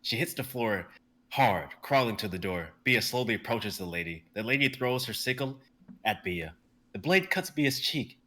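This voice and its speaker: A young man narrating stage directions in a live script reading, brisk and vivid, with the odd stumble. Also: mid-range voice, animated, bright, forceful tone, a little hiss or hum. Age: young adult.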